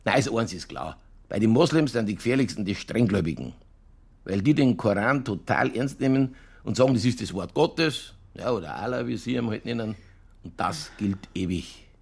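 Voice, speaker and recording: An actor playing a stubborn, provocative Bavarian police detective in a German radio crime drama, holding forth in colloquial dialect with a husky, gravelly voice. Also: male voice, on the low side, lively intonation, normal speed, exaggerated voice. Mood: opinionated.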